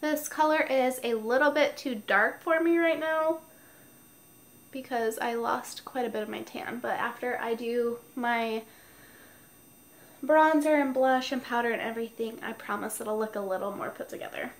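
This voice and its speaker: A woman's voice: on the high side, moderate pace. A woman with a stuffy nose, chatting casually through her makeup routine step by step while recording at home. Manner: chatty, explains each step. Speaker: beauty vlogger. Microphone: noisy mic.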